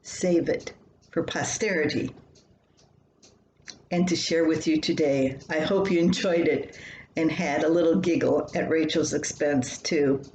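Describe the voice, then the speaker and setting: An older woman reading her own family column aloud in an unhurried, plain, homespun way. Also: low-pitched, a little mellow. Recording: roomy home recording with faint noise.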